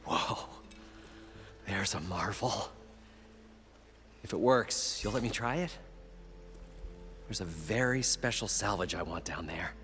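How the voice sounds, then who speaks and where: Chatty salvager, folksy, low voice, lively delivery, game music behind. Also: male voice, slow pace, long pauses. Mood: friendly. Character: eager older male salvager, folksy and chatty. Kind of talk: video game cutscene acting.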